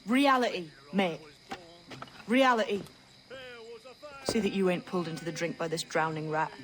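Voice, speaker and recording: A man's voice: sits high, lively intonation, moderate pace, a bit bright, faint music behind. An actor playing a disillusioned pirate in a video game, scolding his friend in an exasperated, reproachful way. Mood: bitter reproach. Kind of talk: dramatic video game acting.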